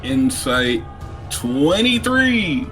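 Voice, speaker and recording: A man's voice: medium pitch, big pitch swings, very slow, fairly bright tone, faint music behind. A man playing a tabletop game, chatting casually in a colloquial, slangy way.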